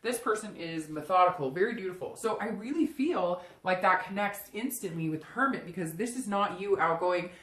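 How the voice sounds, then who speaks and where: A woman's voice: lowish voice, animated, moderate pace, hardly any pauses, fairly bright tone. A woman giving a casual, unscripted tarot reading straight to the listener, conversational and often slipping into imagined first-person quotes. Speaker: tarot reader hosting a reading. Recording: roomy, echoing room sound.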